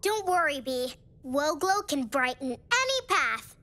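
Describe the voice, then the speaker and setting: A woman's voice: high-pitched, very animated, unhurried, pushed and bright, full character voice. An actor voicing a cheerful, brave young girl hero in a cartoon, with an upbeat, encouraging manner. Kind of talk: cartoon voice acting. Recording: music behind.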